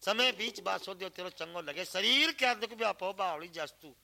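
A man voicing a high-voiced angel disguised as a fakir. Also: animated, normal speed, creaky, pushed and bright, heavily acted. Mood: concerned questioning. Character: angel disguised as a concerned wandering male fakir.

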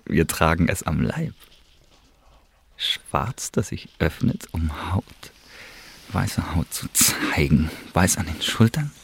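An actor playing a sensual, voyeuristic man in a radio monologue, musing intimately in a hushed, breathy, lingering voice. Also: deep voice, full character voice. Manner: breathy, whispering, slow, lingering. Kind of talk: German radio drama monologue.

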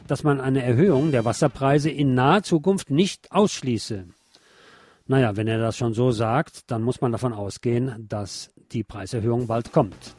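Mann liest Nachrichten, Musik im Hintergrund. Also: male voice, mid-range voice, darkish tone. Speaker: radio show host reading local news. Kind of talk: scripted news with personal commentary. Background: music with guitar.

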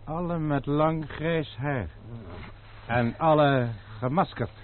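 A man's voice: fairly high pitch, slow, slight background noise. A man playing a humble, loyal servant who speaks deferentially in an old radio drama. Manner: deferential, hushed. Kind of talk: vintage radio drama acting. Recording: phone-like narrow band from a distance.

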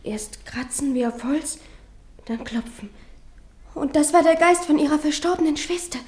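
A very high, girlish voice acted by a woman for a 1950s German radio drama. The girl is timid and sullen, hesitant as she speaks, with a plaintive, haunted edge. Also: moderate pace, a little mellow, natural voice. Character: frightened, sullen young girl who claims ghost sightings.

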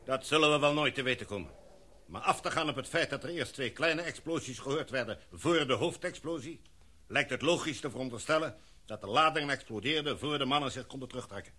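A man's voice: mid-range voice, a little fast, pushed and bright, breathy edge. An actor playing a commanding, decisive male expedition leader in a radio play, addressing a meeting in a formal, speech-like way. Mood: measured.

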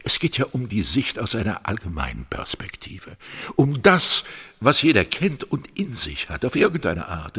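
An actor playing a brooding, rapturous artist in a stylized literary radio play, with fragmented, incantatory delivery. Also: male voice, on the low side, sharp tone, husky, exaggerated voice. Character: brooding, rapturous male artist, stammering and urgent.

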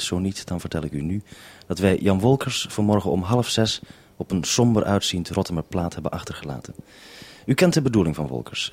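A man's voice: low-pitched, darkish tone, husky. A man with a Dutch accent presenting a radio report and two-way interview, with a wry, dryly ironic way of talking. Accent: Dutch, from the Netherlands.

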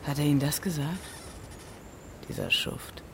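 Radio-play witness, a married woman who is defiant and sharp-tongued. She answers curtly, with sarcasm and evasion. Light background noise sits under her voice. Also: deep voice, fairly even intonation, unhurried. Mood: sarcastic, evasive. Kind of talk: German radio play acting.

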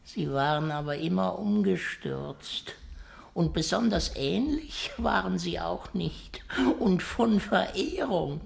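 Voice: mid-range voice, animated, slow pace, vocal fry, full character voice. An actor in a 1950s radio play voicing a stunted dwarf acrobat, young, proud, wry and world-weary, with a theatrical, sardonic delivery. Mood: dry, mocking. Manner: theatrical, sardonic, shifting between hushed and sharp.

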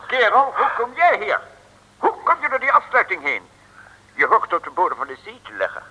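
An actor playing a haughty, threatening, crooked mayor in an old Dutch radio play, speaking pompously and formally. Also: male voice, high voice, wildly swooping pitch, brisk, pushed and bright, slightly rough, full character voice. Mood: outraged. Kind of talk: 1950s-60s Dutch radio play acting. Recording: old radio recording, reverberant hall.

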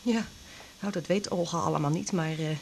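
A woman's voice: fairly low pitch, normal speed, vocal fry, slight background noise. A woman acting a troubled, resigned character in a radio drama, talking in a hesitant, subdued way.

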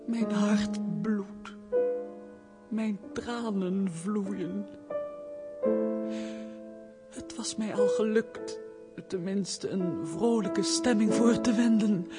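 An actress playing a melancholy, reserved gentlewoman in a period radio play, speaking in a formal, hushed, sorrowful way. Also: mid-range voice, drawn-out words, long pauses, over loud music. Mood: anguished.

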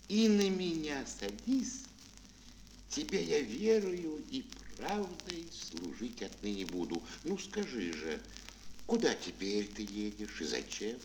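A loyal grey wolf with a human voice, speaks slowly and solemnly, with expressive swings of pitch. He sounds like a weighty storybook helper on an old children's record with faint hiss. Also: heavily acted, low-quality recording. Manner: slow, deliberate fairy-tale delivery. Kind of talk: children's audio fairy-tale play.

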